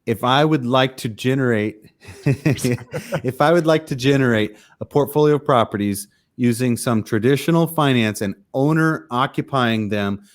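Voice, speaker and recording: A man's voice: mid-range voice, unhurried. A man co-hosts a podcast in a middle voice. He asks long, rambling questions and sums up what he hears for listeners. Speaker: podcast co-host. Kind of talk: casual podcast interview.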